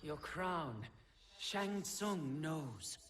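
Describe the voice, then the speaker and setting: A woman's voice: sits low, expressive, very slow, heavily acted. A woman playing a proud, fearless, dutiful deity in a game cutscene, solemn and terse, with noise behind the voice. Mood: resolute. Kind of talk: dramatic game cutscene acting. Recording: loud ambient noise behind voice.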